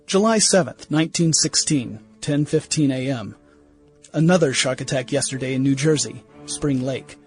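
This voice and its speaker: An actor playing an irritable, self-important 1910s museum scientist, delivering a brisk, opinionated diary monologue in a radio play. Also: male voice, medium pitch, slightly rough. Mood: self-important, skeptical. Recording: music bed under the voice.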